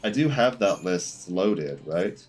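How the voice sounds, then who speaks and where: A man doing casual live game commentary, thinking aloud in a halting, self-correcting way as he talks to his chat. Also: low voice, expressive. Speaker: game streamer. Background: game music.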